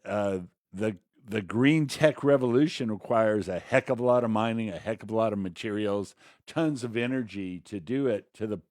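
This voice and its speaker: A man with a slightly low voice talks through policy as a co-host. He is conversational but argumentative, leaning on sardonic phrasing. The recording is dry and free of room sound. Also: a little mellow, no echo. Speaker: commentator, co-host. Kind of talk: conversational political commentary.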